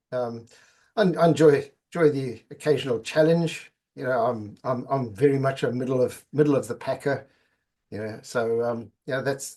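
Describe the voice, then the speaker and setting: A man's voice: mid-range voice, darkish tone. A middle-aged man from Zimbabwe, a podcast guest chatting casually and often repeating words as he goes. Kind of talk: casual interview chat.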